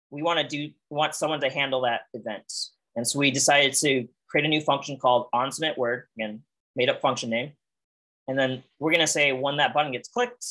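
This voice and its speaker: A man's voice: medium pitch, a bit bright, rough recording. Patient man teaching code, voice wearing thin. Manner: patient, explains step by step with fillers. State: losing his voice. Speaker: coding instructor. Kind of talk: live coding lesson over video call.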